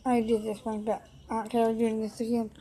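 A woman's voice: fairly high pitch, flat delivery, fairly quick, warm tone, slightly rough, low-quality recording. A young woman chatting casually on her laptop webcam while wearing headphones, singing along to pop songs between asides in an indoor office room. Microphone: laptop webcam mic, wearing headphones.

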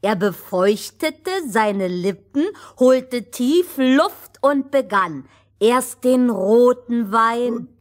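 A woman storyteller narrating a scripted fairy tale for children. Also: fairly high pitch.

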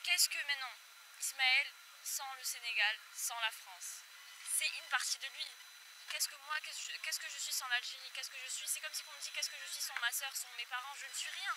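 A woman's voice: high-pitched, fairly even intonation, brisk. A young woman in her twenties speaking earnestly in a street interview on a city square, with rhetorical questions, into a handheld mic. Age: 20s. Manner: earnest, questioning, softening toward the end. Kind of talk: street interview, personal opinion. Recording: outdoor street noise.

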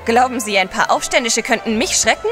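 Actress as a bold, higher-voiced lady adventurer. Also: fairly quick, music in the background, lightly acted. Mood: bold, determined. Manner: poised, assertive. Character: bold, self-assured, adventurous wife of a gentleman. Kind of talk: German audio drama acting.

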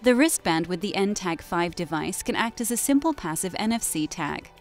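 Measured woman narrating a product video. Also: fairly low pitch. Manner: even, measured, clearly enunciated. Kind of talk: scripted corporate product narration. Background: soft background music.

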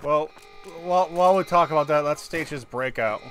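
A man's voice: fairly high pitch, brisk, over music. A man chatting casually and sarcastically, with some swearing, while playing a co-op video game. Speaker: gamer playing co-op. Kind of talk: casual gaming chat. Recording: background game noise, ambience.

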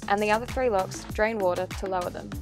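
A woman giving scripted voice-over narration for a sailing travel vlog, explaining each step clearly. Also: mid-range voice, steady tone, fast, music in the background.

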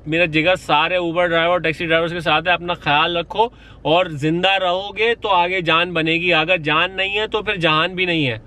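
A man's voice: high-pitched, steady tone, normal speed, bright, forceful tone. A man in his thirties from Pakistan, a rideshare driver giving earnest, pleading safety tips in a casual vlog, dropping English phrases into his talk, filmed in a parked car on a phone held at arm's length. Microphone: phone or camera at arm's length.

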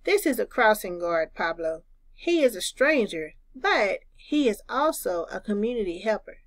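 A woman's voice: medium pitch, big pitch swings, moderate pace, no echo, natural voice. A woman voicing a sensible older boy who patiently instructs, in a children's storybook. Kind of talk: children's storybook read-aloud.

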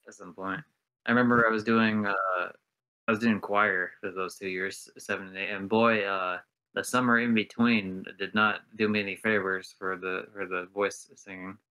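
A man's voice: lowish voice, flat delivery, normal speed, sharp tone, rough recording. A young man hosting a podcast, laid-back and casual, telling anecdotes with some mild swearing. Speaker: podcast host.

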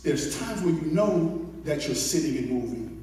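A man in his thirties preaching a sermon, building call-and-response, repeating phrases and rising to shouts as he paces with a handheld mic. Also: fairly high pitch, animated, normal speed. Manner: pacing the stage with a handheld mic. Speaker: pastor. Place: large church sanctuary. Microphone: handheld mic, recorded from far off in the pews. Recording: echoey church hall from far off. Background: congregation responding.

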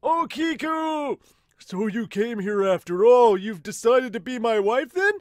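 A man's voice: extremely high pitch, animated, unhurried, no room sound, voice pushed up. A man voicing a vain, huge sumo champion wooing a woman in a manga. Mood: smug.

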